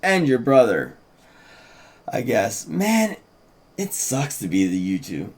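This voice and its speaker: A man's voice: medium pitch, huge pitch swings, slow pace, husky, exaggerated voice. A man reading the part of a cynical, teasing teenage girl in a fantasy script, sardonic and slangy.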